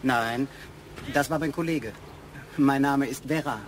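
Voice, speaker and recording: An actor playing a polite, businesslike police inspector in a German radio crime drama. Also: male voice, medium pitch, a little slow, slight background noise, natural voice. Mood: courteous. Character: brisk, courteous male police inspector.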